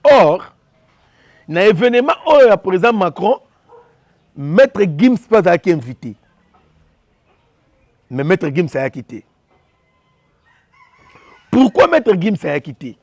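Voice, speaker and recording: Impassioned high-voiced Congolese man. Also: sing-song, slow, long pauses, darkish tone, dry recording. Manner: impassioned, repetitive, mixing French and Lingala. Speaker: commentator. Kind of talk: opinion commentary.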